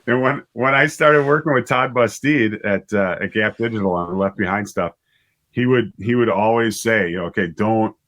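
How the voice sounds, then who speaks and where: Low-pitched man explaining in casual interview. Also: normal speed, fairly bright tone. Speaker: interview guest, writer and director of audio dramas.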